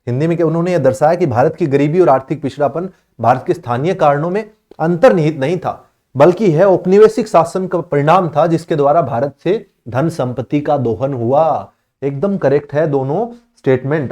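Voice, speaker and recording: A man explaining history exam questions to students, reading the English text slowly and then explaining it in Hindi, with many check-ins for understanding. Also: on the high side, dark tone. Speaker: exam coaching teacher.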